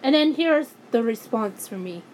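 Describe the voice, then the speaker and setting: A woman ranting angrily in a vlog, hoarse and losing her voice, often breaking off and restarting her sentences. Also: fairly high pitch, expressive, moderate pace, darkish tone, a little hiss or hum, poor audio. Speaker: YouTuber.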